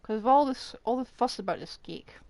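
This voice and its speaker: A woman's voice: fairly high pitch, expressive, a little fast, a little mellow, dry recording. A woman streaming a game, chatting casually and musing aloud as she plays. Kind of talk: casual livestream commentary over gameplay. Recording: game music behind the voice.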